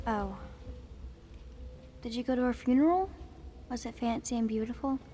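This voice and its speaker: High-voiced, earnest young noble girl, acted by a female. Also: moderate pace, mellow, slight background noise, close to an everyday voice. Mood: subdued. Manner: childlike, earnest, polite. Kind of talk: video game character acting.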